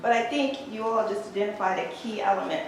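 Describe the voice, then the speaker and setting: A woman's voice: mid-range voice, quick pace. A woman facilitating a group discussion, affirming each speaker and thanking them. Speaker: workshop facilitator. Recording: echoey room, from far off, background noise.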